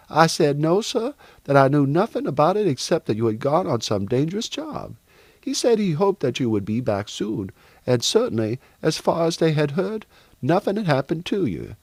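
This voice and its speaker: A man's voice: a little high, a little mellow, dry recording, pitched above the performer's own voice. A man voicing an anxious, loyal manservant in an audiobook novel.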